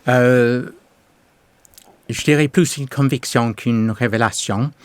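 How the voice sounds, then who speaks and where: A man in his sixties with an English accent, a pastor giving his testimony in a slow, hesitant way with many pauses. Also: mid-range voice, smooth, no echo. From England. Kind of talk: testimony interview.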